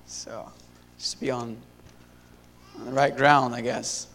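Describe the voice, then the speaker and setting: A young man in his twenties from Syria, giving a halting church testimony full of fillers and restarts into a handheld mic. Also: level tone, a bit bright. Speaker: missionary. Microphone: handheld wireless mic. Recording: echoey hall, faint rumble.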